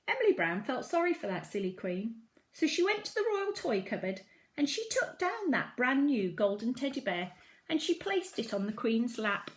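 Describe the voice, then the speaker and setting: A woman's voice: a little high, big pitch swings, normal speed. A woman reading a children's story aloud, expressively, with dramatic emphasis on key phrases. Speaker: storybook reader at a primary school.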